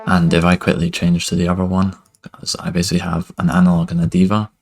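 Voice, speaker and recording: A young man teaching music production over a screen recording in a relaxed, loose way, with lots of "kind of" and "basically", speaking into a headset mic in a home studio. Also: deep voice, fast talker, creaky. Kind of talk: screen-recorded software tutorial. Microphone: headset with webcam inset.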